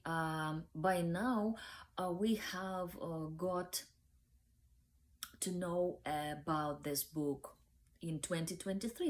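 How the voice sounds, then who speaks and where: A woman's voice: lowish voice, a little mellow. A young woman with a Ukrainian accent hosting a book review channel, speaking hesitantly with many fillers. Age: young adult.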